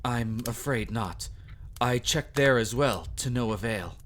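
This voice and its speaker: A man's voice: mid-range voice, measured pace, a bit bright, close to an everyday voice. A man playing a timid, deferential head servant in a vampire household, formal and hesitant, in an audio drama. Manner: nervous, hesitant, apologetic. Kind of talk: dramatic audio roleplay acting.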